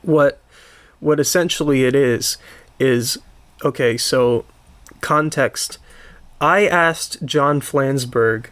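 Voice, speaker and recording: A man hosting a casual podcast conversation, talking haltingly and circling back to rephrase with keen interest. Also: mid-range voice, fairly bright tone, smooth. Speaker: podcast host.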